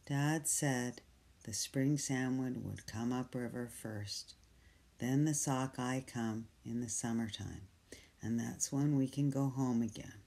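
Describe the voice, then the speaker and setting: Slow, gentle storybook reader with dark tone. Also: medium pitch, gravelly. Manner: gentle, soft, drops to whispers. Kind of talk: slow picture-book read-aloud.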